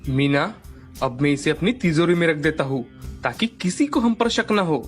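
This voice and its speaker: A woman puts on a village man from a moral tale. The voice drops far lower into a male register and talks plainly and firmly. Music runs softly behind. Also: lively intonation, moderate pace. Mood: scheming. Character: village men: helpful farmer brother, greedy rich headman.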